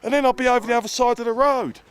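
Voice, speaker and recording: A man casually recounting a motorcycle ride in an animated way. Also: very high-pitched, rapid-fire. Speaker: motorcycle trip rider.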